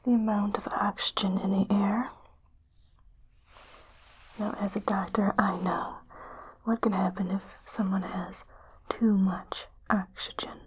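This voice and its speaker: A woman playing a calm, reassuring sci-fi doctor in a hushed, soothing whispered ASMR roleplay. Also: medium pitch, slight background noise, lightly acted, rough recording. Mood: calm questioning. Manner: slow, soothing, hushed delivery. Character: calm, reassuring female doctor on an alien-planet expedition.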